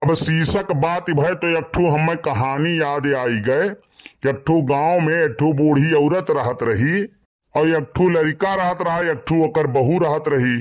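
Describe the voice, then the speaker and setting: A man's voice: fairly high pitch, natural voice. A man voicing a gossipy, mischievous village man in a comedy cartoon, speaking with an Awadhi accent from eastern Uttar Pradesh.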